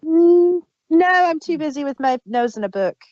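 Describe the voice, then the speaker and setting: A woman's voice: high-pitched, expressive, normal speed, a little mellow, dry recording, dull audio. A woman from the Chicago area with a Midwestern American accent, co-hosting a podcast in casual chat with dry, punning humor. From Chicago area, United States. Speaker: podcast co-host.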